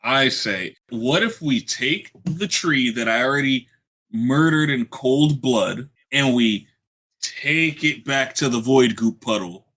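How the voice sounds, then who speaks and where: A man's voice: mid-range voice, slow, a bit bright. A man playing a tabletop role-playing game with joking banter and theatrical turns of phrase. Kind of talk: casual improvised game banter.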